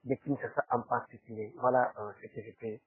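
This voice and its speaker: A man from DR Congo with a Congolese accent and a husky voice, answering formally as a spokesman, hesitantly and with frequent tag questions. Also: mid-range voice, flat delivery, brisk. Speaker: interview guest, foundation spokesman. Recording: roomy, tinny phone-like sound.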